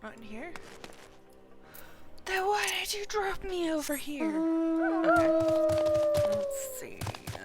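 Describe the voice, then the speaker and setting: Slow-paced, animated woman streaming a game. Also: very high-pitched. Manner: chatty, muttering asides to herself. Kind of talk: casual gameplay commentary, reading dialogue aloud. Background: game music.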